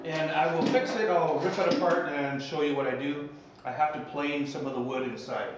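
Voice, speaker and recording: Echoey, higher-pitched middle-aged man explaining. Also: normal speed, over music. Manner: plain-spoken, explanatory. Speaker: piano technician. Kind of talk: casual explanatory how-to vlog. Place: small indoor piano practice room. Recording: very echoey room, clear background noise.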